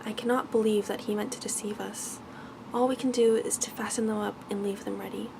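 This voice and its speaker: A woman voicing a sweet, trusting young maiden in a soft, musing way for a bedtime fairy tale. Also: mid-range voice, fairly even intonation, brisk, vocal fry, background noise, close to an everyday voice. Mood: calm, trusting.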